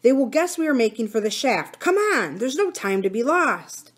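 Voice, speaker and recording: A woman's voice: on the high side, very animated, moderate pace, voice pushed up. A decisive boy hero from a children's adventure story, performed by a woman reader. He sounds urgent and brave. He gives orders in a slightly raised voice. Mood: urgent, anxious. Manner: urgent, commanding. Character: brave, decisive boy leading frightened girls.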